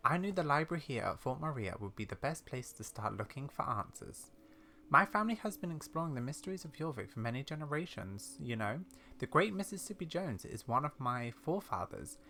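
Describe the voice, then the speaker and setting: A man voicing a kindly, secretive old witch granny in a game, with measured, theatrical, old-fashioned speech. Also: medium pitch, sharp tone. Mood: mysterious. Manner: measured, mysterious storytelling with fond, old-fashioned turns of phrase. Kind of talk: game dialogue read aloud in character.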